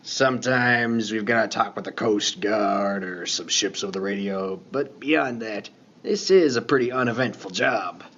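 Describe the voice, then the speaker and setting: A man playing a laconic, folksy lighthouse keeper in a radio play, talking in a plain, unhurried, colloquial way. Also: lowish voice, fairly bright tone, lo-fi sound. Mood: dry, matter-of-fact. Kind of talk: 1950s-set radio play dialogue.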